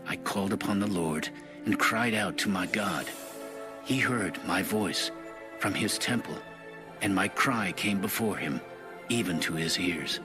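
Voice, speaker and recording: Devout old-testament psalmist king, solemn, really deep voice, music behind, audio bible drama. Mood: solemn, grave. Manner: solemn, measured. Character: devout, thankful ancient psalmist king praying. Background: background music.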